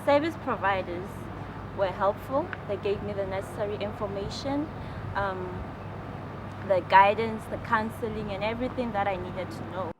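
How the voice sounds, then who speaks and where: A woman's voice: mid-range voice, normal speed. A woman in her twenties from Botswana, recounting her experience plainly and listing points. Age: 20s. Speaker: interviewee. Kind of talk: personal testimony. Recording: loud street traffic behind.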